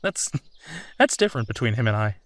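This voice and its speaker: A man's voice: mid-range voice, big pitch swings, a bit bright. A man playing a supportive, affectionate husband in an intimate comfort roleplay, talking in a reassuring, conversational way. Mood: gentle, supportive. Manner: reassuring, conversational, unhurried pauses. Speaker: audio roleplay performer.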